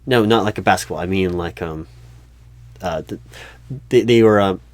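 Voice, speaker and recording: Man playing a flustered, stammering low-voiced office recruiter. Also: normal speed, dry recording, lightly acted. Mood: awkward, hesitant. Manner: hesitant, stammering, awkwardly tactful. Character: flustered, oblivious, polite male recruiting manager. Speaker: recruiting manager in a job interview roleplay. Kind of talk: one-sided comedic audio roleplay.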